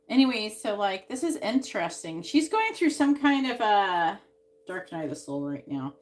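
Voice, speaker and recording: A woman reading tarot cards on a live stream, musing out loud and trailing off with sighs. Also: mid-range voice. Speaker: tarot reader.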